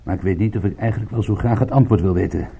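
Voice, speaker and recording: Radio play casting: wary detective, dry and pointed, relaxed husky voice. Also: male voice, on the low side, very fast. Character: male private detective, wry, wary and dogged. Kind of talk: Dutch radio drama acting.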